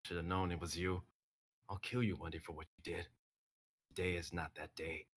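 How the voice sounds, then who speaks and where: A man's voice: low voice, level tone, moderate pace, slight background noise, pitched below the performer's own voice, close to an everyday voice. A young man playing a grim, vengeful masked ninja hero in a story reading, with terse, intense delivery. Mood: cold, threatening.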